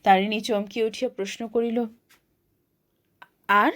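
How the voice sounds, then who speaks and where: A woman narrating a literary short story in a measured, formal reading style. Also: fairly high pitch.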